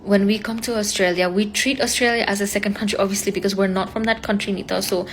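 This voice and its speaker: A woman's voice: mid-range voice, fairly even intonation, a little hiss or hum. A young woman from Nepal with a Nepali accent, her first language Nepali, talking earnestly in a casual vlog and mixing English with Nepali. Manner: rapid, earnest, frequent "like" fillers.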